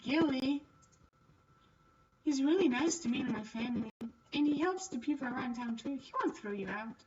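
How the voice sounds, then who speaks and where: A woman's voice: high-pitched, lively intonation, moderate pace, far from the mic, highs cut off, crowd noise. A woman voicing a friendly, chatty young noble girl in a visual novel. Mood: cheery, eager. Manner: bubbly, eager, friendly. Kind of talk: visual novel character reading.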